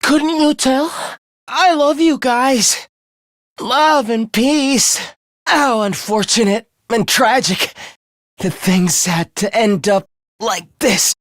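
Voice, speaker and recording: A man's voice: slow, fairly bright tone, no echo, clean studio sound, full character voice. A man voicing a sadistic young male video game villain, mock-polite and theatrical in his contempt. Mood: mock sweet. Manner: mock-polite, theatrical, swinging into shrill ranting. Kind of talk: anime-style video game voice acting.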